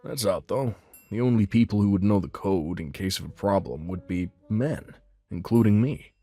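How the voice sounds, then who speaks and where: Man playing a calm, low-voiced apartment manager. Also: moderate pace. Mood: calm, matter-of-fact. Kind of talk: manga dub dialogue. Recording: music behind the voice.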